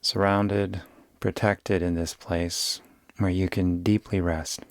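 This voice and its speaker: A man guiding a yoga nidra meditation in a soothing, unhurried way, giving gentle instructions with long pauses. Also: deep voice, little pitch movement, no echo. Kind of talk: guided meditation.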